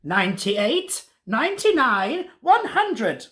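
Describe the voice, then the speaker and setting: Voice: mid-range voice, very animated, slow pace, fairly bright tone, pitched above the performer's own voice. A storyteller playing a wicked male wizard from a children's storybook, thundering, gloating and theatrical. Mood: commanding.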